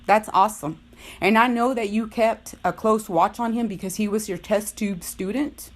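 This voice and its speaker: A middle-aged woman speaks as a parent lodging a complaint in a phone dispute. She is firm and insistent, often circling back to repeat her point, in a voice of ordinary pitch. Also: normal speed. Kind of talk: tense phone conversation, disputing.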